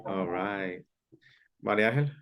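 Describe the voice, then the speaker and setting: A man's voice: lowish voice, measured pace, fairly bright tone. A man teaching an online English class, patiently repeating key phrases and sometimes explaining in Spanish. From Mexico.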